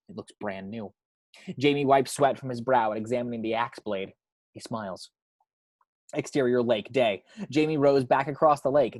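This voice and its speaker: Male narrator for a script table read. Measured, descriptive delivery of stage directions, medium pitch, very dry recording. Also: darkish tone, dry recording. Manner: measured, descriptive reading with occasional stumbles.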